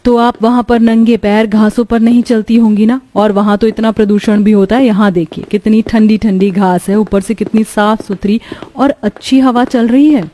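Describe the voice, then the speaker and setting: महिला की आवाज़ में आदरभरी, सेवाभावी गाँव की बहू. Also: female voice, a little high, steady tone, moderate pace, few pauses, dark tone, lightly acted. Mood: reassuring. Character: young, devoted, respectful village daughter-in-law. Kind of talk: cartoon moral story dialogue.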